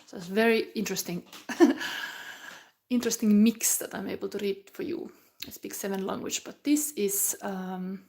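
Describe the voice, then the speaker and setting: A woman in her forties, giving a live tarot reading in a halting, musing way with soft whispered asides. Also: mid-range voice. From Finland. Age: 40s. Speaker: tarot reader.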